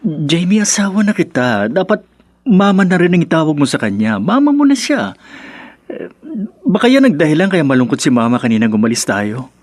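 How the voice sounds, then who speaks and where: Casting: young Filipino husband, lightly high voice, very expressive, defensive mother's boy, radio serial. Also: brisk, no room sound, studio quality. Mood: hurt, questioning. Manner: earnest, emotional. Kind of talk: radio drama acting.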